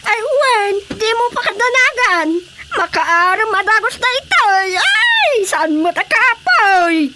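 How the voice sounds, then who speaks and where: A woman's voice: extremely high pitch, big pitch swings, slow pace, full character voice. A woman playing a talkative, exclaiming older village gossip with an Ilocano accent in a radio drama comedy. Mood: excited, welcoming.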